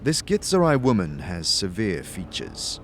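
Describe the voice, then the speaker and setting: A man narrating a scripted fantasy audio drama in a measured, descriptive, theatrical manner. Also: deep voice, wildly swooping pitch, slight background noise. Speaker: narrator and game master.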